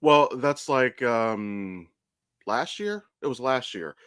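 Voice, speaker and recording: A man's voice: mid-range voice, takes it slowly, clear, resonant tone, dry recording. Blunt, profane American man in a dry recording. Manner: blunt, profane, animated storytelling. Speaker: podcast co-host. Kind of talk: casual chat.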